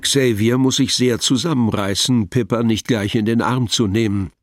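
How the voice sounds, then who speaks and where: A man's voice: medium pitch, steady tone, normal speed, no room sound. A man reading scripted narration as the narrator of a radio drama.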